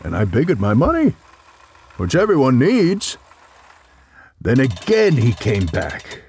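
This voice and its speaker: A man narrating a rhyming children's story in a dramatic, expressive, theatrical way. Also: mid-range voice, slow pace, breathy edge.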